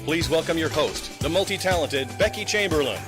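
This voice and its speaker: A man's voice: a little high, lively intonation, brisk, over music. A man reading a scripted introduction as the announcer of a variety show.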